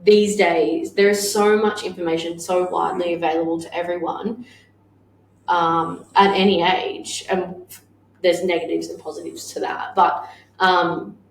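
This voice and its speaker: Woman, thirties, lowish voice, blunt and sweary, casual podcast chat, roomy echo, faint hiss. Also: flat delivery, a little slow. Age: 30s. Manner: blunt, swears freely, laughs often. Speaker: podcast co-host, business owner. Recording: echoey room with faint noise.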